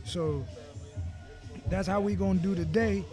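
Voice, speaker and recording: A man's voice: high-pitched, fairly even intonation, a little slow, dark tone. A man hosting a podcast in casual chat, loose and laid-back, swearing freely, with event music playing behind. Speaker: podcast host.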